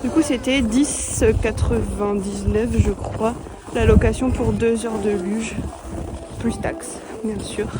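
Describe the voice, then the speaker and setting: A woman's voice: a little high, fairly even intonation, slow, noisy background. A young woman from France with a French accent from France, chatting casually to the camera as a vlogger. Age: young adult. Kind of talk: casual vlog chat to camera.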